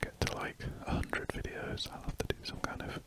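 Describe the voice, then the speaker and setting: Softly whispering man, ASMR host. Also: moderate pace. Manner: soft unvoiced whisper, rambling and self-correcting. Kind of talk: whispered ASMR drawing chat.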